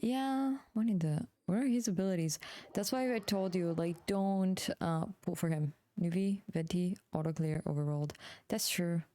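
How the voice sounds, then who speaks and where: A woman's voice: lowish voice, moderate pace, warm tone, no echo. A woman chatting casually on a live game stream, informal and slangy with some swearing. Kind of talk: casual gaming stream chat with viewers.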